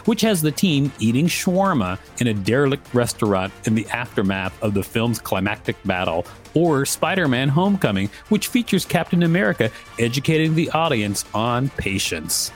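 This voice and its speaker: A man co-hosting a podcast, reading an article aloud with informal, teasing banter. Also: medium pitch. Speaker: podcast co-host.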